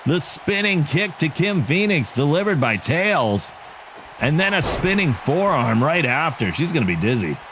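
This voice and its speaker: A man giving excitable wrestling play-by-play commentary for a video game league, joking about himself between calls. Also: a little high, moderate pace. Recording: game crowd and noise behind the voice. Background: video game arena crowd.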